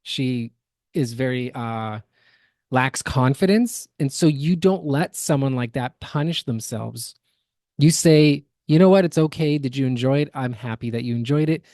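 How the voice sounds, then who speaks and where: Casting: male podcast co-host, mid-pitched, analytical and emphatic, very dry close sound. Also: measured pace, a little mellow, dry recording. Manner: analytical, emphatic, builds word lists. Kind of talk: opinionated reaction commentary.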